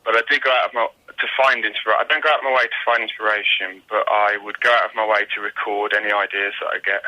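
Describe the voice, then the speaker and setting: A British man speaking as a musician guest in a casual interview, thoughtful, modest and measured in how he talks. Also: lowish voice, fairly even intonation, highs cut off. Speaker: guest singer-songwriter.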